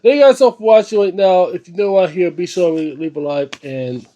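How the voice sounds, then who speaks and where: High-pitched male vlogger, halting and repetitive, earnest casual commentary with slangy emphasis. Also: warm tone, highs cut off. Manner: halting, repetitive, searching for words. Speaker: host of a book reading and discussion series. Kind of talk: unscripted casual video commentary.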